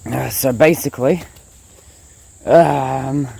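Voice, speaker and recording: A young man talking casually to viewers while filming himself outdoors in the bush, rambling and relaxed, with insects chirping behind him. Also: medium pitch, takes it slowly, creaky, husky. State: sick, blocked nose and sore throat. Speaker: bushcraft vlogger.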